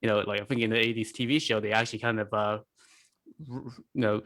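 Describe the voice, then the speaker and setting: A man talking casually as a podcast panelist, halting often and peppering his speech with "like" and "you know.". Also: fairly low pitch, level tone, a bit bright. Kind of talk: casual discussion.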